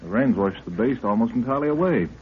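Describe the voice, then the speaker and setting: A man's voice: medium pitch, lively intonation, fast, close to an everyday voice. A man playing a curious, polite young expedition assistant with a wry questioning manner in a 1930s radio adventure. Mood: curious, respectful. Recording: tinny, noisy 1930s radio recording.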